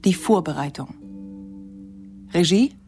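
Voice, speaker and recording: A woman's voice: lowish voice, expressive, takes it slowly, darkish tone. A woman reading a scripted credits announcement for a radio play. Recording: clear music behind. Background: music.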